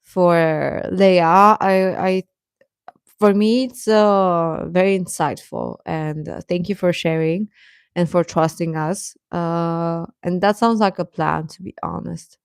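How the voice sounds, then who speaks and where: A woman's voice: fairly low pitch, fairly even intonation, takes it slowly, gravelly, clean tone, no echo. Reassuring woman in a dry, close recording. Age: adult. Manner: earnest, reassuring, repeats phrases for emphasis. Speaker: live streamer giving advice to teenage viewers. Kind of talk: casual livestream chat, encouraging advice.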